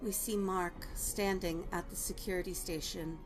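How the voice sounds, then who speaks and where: A woman's voice: fairly low pitch, steady tone, normal speed, over music. A woman narrating scripted stage directions for a drama.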